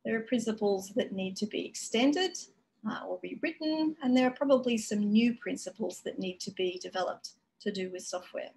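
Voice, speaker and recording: A woman giving a conference keynote over a video call, explaining in a measured way with frequent fillers. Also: medium pitch, gravelly, a little mellow, a little hiss or hum, poor audio. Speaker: keynote speaker. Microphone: video call webcam.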